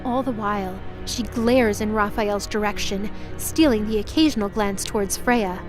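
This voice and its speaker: A woman's voice: medium pitch, normal speed. A woman reading scripted, dramatic fiction as the narrator of an audio drama. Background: music.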